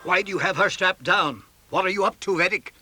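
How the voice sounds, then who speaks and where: A man's voice: high-pitched, brisk, bright, forceful tone, a little hiss or hum. An actor playing a proud, defiant captive emperor and father in a 1970s space-opera audio drama, dignified and intense. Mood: alarmed.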